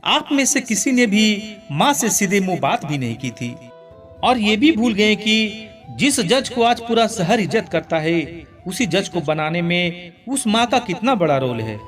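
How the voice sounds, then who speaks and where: A man's voice: high voice, big pitch swings, measured pace, a bit bright, soft background music, full character voice. A man voicing a guilt-stricken middle-aged judge in a moral story, solemn, reproachful and emotional. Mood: anguished reproach.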